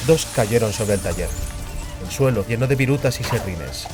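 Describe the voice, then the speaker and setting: A man's voice: on the low side, expressive, normal speed. A man narrating a fantasy audiobook in a scripted, dramatic storytelling style. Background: music.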